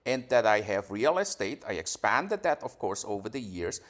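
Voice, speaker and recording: A man's voice: on the low side, very animated, normal speed, fairly bright tone. A man in his forties from Switzerland, answering questions in a casual interview, defensive and prone to repeating words and restarting sentences. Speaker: YouTuber being questioned.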